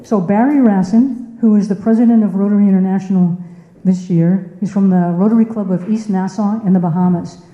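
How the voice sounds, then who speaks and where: A woman's voice: medium pitch, fairly even intonation, fairly quick, dark tone. Woman speaking in a muffled, echoey room. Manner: conversational, asks the audience questions, repeats words for emphasis. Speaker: club district governor giving a speech. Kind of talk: informal presentation to a club audience. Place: indoor meeting room.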